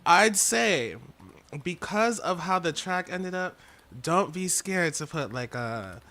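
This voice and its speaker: A young man giving casual, halting feedback on a track, full of likes and small laughs. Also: high-pitched, expressive, bright, forceful tone. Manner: loose, halting, lots of fillers and laughs.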